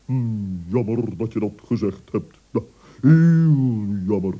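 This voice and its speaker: A man's voice: lowish voice, lively intonation, slow pace, phone-quality sound. A man voicing a stern, fussy old male owl in an old radio play, pompous and pedantic in his corrections. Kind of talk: 1960s radio play acting.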